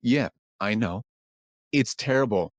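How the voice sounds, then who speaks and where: Guy doing a cartoon crooner lamppost, mid pitch, easygoing but moaning, close dry audio. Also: expressive, measured pace, dry recording. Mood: downcast. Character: swinging crooner Christmas lamppost with a sore throat.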